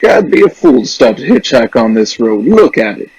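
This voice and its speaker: An actor playing a plain-spoken, folksy gas station attendant in a suspense radio drama. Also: male voice, mid-range voice, sing-song, moderate pace, a little mellow, breathy edge, no room sound, rough recording. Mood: folksy, matter-of-fact. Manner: folksy, matter-of-fact.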